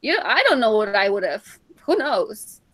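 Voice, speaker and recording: A woman speaking on a casual online panel, nasal from a cold and hesitant, with halting starts. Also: medium pitch, sing-song, a bit bright. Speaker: panel guest.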